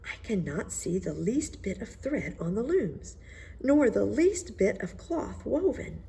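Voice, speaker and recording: A woman voicing an honest, cautious old minister in a storybook, baffled and somewhat hushed. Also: mid-range voice, very animated, measured pace, gravelly, slight background noise, pitched above the performer's own voice.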